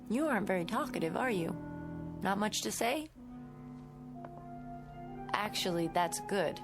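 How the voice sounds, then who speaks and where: An actress playing a kind, slightly uneasy schoolteacher in her twenties in a radio drama, talking in a gentle, coaxing way. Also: mid-range voice, animated, a little slow. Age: young adult. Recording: radio drama with prominent music underneath.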